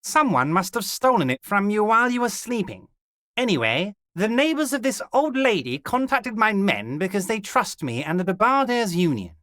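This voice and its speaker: Scheming jovial union boss, video game, ingratiating, lively swooping pitch, dry close recording. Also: male voice, moderate pace, no room sound. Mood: smug, ingratiating. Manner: smooth and ingratiating, drops low and soft on pet names; blunt and crude at times. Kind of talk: video game character dialogue.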